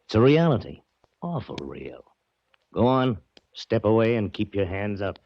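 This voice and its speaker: An actor playing a tough, curt bodyguard who gives orders and wisecracks in a 1940s radio drama. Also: male voice, fairly low pitch, big pitch swings, normal speed. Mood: menacing, sardonic. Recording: muffled, slightly noisy old radio recording.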